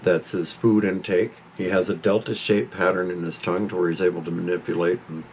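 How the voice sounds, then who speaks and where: A man's voice: low voice, level tone, brisk, darkish tone, slight background noise. A man in his fifties talking casually to camera at home about his pet parrot, rambling and stopping to scold the bird. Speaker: pet owner vlogger.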